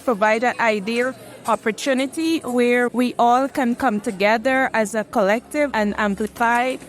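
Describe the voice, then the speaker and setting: A woman's voice: on the high side, steady tone, normal speed. A woman from Ghana, a human resources practitioner, giving an earnest, fluent soundbite as an advocate. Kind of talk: recorded soundbite.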